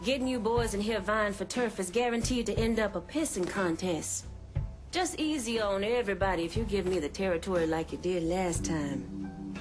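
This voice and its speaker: A cool, self-assured female underboss from a video game, acted by a woman. Her voice sits at middle pitch, casual and unhurried by anyone, with clear music behind. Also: lightly acted. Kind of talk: cinematic game dialogue.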